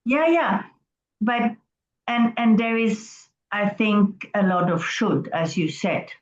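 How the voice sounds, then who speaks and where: A woman's voice: mid-range voice, animated, slow. Hesitant woman on a roomy, dull video call. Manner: hesitant, reflective, restarts words. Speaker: class participant.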